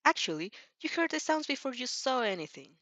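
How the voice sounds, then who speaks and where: A woman who is a non-native English speaker, hosting a casual fan fiction read-aloud with an informal, halting, giggly delivery and self-corrections. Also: sits high, very animated, fairly bright tone, dry recording. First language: not English. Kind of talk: casual reaction chat and story reading.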